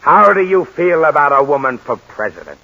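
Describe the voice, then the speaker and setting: An actor playing a practical, coaxing sidekick in a 1940s radio drama. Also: male voice, fairly high pitch, animated, moderate pace. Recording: lo-fi 1940s radio broadcast with hiss.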